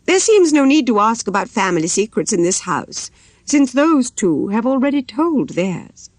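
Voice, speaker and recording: A woman's voice: a little high, big pitch swings, normal speed, no room sound. Casting: a woman playing a scheming young lady, coy and sweetly insinuating, swooping intonation, audiobook. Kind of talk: audiobook character voice.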